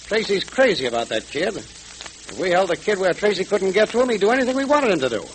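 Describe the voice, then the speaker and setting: An actor in a vintage radio serial playing a blustering, scheming gang boss with tough, emphatic gangster talk. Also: male voice, sits high, sing-song, fast talker. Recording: crackly old radio recording.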